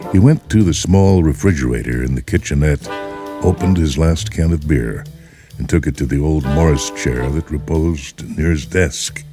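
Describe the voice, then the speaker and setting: A very deep-voiced man narrates short fiction as host and reader. His delivery is measured and theatrical, with deliberate pauses. Music runs clearly beneath his voice. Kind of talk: dramatic scripted narration of short stories. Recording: music bed behind the voice.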